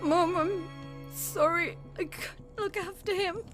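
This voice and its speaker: A woman's voice: extremely high pitch, steady tone, over music. A woman playing a grieving young sister in an audio drama, crying as she speaks. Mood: anguished, guilty. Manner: tearful, slow, halting. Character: grieving young sister, tearful, singing a lullaby to her brother.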